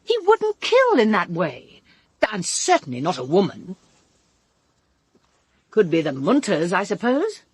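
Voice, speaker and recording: Brittle British woman, vividly animated. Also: medium pitch, unhurried, long pauses, slightly rough, dry recording, exaggerated voice. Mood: urgent, speculating. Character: brittle, sharp-tongued woman suspect, candid and bitter. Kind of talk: full-cast radio drama dialogue.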